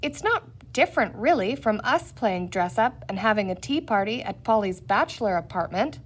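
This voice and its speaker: A woman's voice: medium pitch, animated, normal speed, slight background noise, natural voice. A woman reading the part of a sensible young girl, explaining things earnestly and patiently in a children's story.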